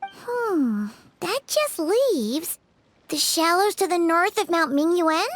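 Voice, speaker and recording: Video game sidekick: an excitable fairy-like girl, very high and lively, bubbly and exclamatory. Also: takes it slowly, slight background noise, exaggerated voice. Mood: puzzled. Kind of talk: video game cutscene dub. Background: game music.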